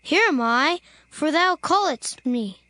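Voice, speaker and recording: Woman as a very high, bright little boy. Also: expressive, slow, dry recording, exaggerated voice. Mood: eager, obedient. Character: young boy temple servant, obedient, earnest, eager. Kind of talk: dramatized scripture reading. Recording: faint music behind the voice.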